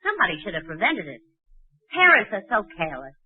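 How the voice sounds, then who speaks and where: An actress playing a wisecracking, put-upon schoolteacher with sardonic, deadpan delivery in a 1940s radio sitcom. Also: female voice, mid-range voice, fast talker, very noisy. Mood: glum, sardonic. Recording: muffled old 1940s radio recording.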